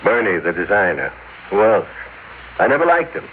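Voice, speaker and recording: A self-righteous, suspicious businessman who insists and accuses. His mid-pitched voice rises and falls sharply in classic radio-drama style. The recording is thin and tinny with some noise. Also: very animated, moderate pace. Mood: accusing. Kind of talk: old-time radio drama acting.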